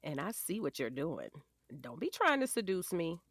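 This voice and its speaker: A woman's voice: on the low side, very animated, normal speed, fairly bright tone, no echo, exaggerated voice. A woman playing a doting but firm wife and mother in her forties, teasing and sassy, in a naturalistic audio drama.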